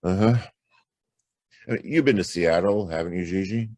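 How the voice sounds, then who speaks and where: An actor playing a world-weary hard-boiled private detective in a noir radio drama, laconic and wry, with a gravelly voice. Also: male voice, sits low, moderate pace, darkish tone, dry recording, studio quality. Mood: accusing, probing. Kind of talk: noir radio drama acting and first-person narration.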